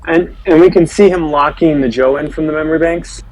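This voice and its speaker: A man's voice: a little high, a little fast, darkish tone, low-quality recording. A man playing a tabletop roleplaying game, talking conversationally as his character.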